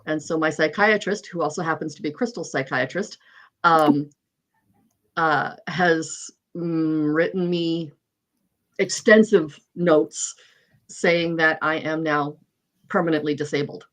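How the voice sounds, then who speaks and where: A woman in her forties sharing openly in a casual recovery meeting, talking haltingly with many pauses. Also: low-pitched, fairly even intonation. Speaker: meeting participant. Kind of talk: casual chat.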